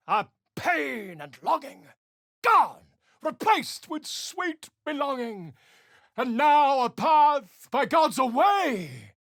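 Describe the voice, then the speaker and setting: A man's voice: very high-pitched, pushed and bright, breathy edge, no room sound, clean studio sound, exaggerated voice. An actor playing an eccentric, pompous male bard and scholar in a video game, speaking in flowery, declamatory phrases. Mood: declaiming.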